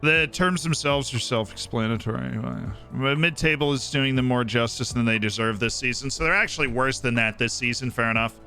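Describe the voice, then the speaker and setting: Sharp-voiced chatty male game streamer. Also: mid-range voice, normal speed, hardly any pauses, faint music behind. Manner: chatty, excitable, answers chat directly. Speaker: streamer playing a football management game. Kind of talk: casual live-stream commentary to chat.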